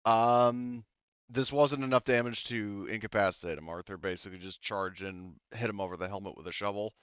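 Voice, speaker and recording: A low, smooth-voiced man runs a tabletop game, patiently checking each rule and roll with his players. His voice is resonant but comes through dull, lo-fi voice chat audio with a dry sound. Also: fairly even intonation, a little fast, dry recording. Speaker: game master.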